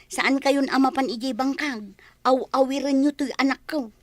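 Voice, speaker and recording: A high, concerned actress as a grown Ilocano daughter, speaking in radio-drama style. The voice sits close and dry, that of a young mother talking to family. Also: steady tone, normal speed, no echo, natural voice.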